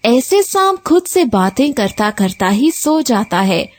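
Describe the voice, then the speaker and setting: A woman tells a scripted story for children as its narrator. Her voice is high and she takes her time, reading slowly. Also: big pitch swings.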